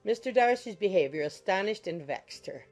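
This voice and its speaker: A woman's voice: mid-range voice, expressive, moderate pace, darkish tone. A woman reading scripted literary narration as the narrator of an audiobook. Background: music.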